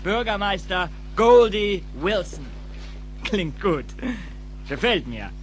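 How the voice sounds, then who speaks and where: A man's voice: sits high, big pitch swings, takes it slowly, over music, exaggerated voice. A man playing a proud, ambitious young café worker in dubbed film dialogue, speaking emphatically. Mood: proud, dreamy.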